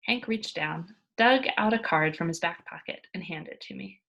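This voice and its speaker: A woman reads a children's story aloud in a lowish voice with a slight husk. Her delivery is expressive and emphatic, dropping into hushed moments for drama, like a teacher reading to pupils. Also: normal speed, creaky.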